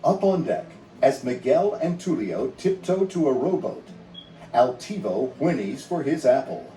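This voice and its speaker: Mellow male storybook narrator, lively delivery. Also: mid-range voice, a little slow, slight background noise. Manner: dramatic storytelling. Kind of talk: scripted storybook read-along narration. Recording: roomy, distant sound under music.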